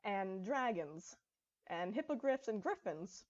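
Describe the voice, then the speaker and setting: A woman's voice: medium pitch, very animated, slow, darkish tone, lo-fi sound. Woman voicing a teasing, easygoing young pony. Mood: teasing, curious. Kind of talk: acted dialogue in fan fiction storybook reading.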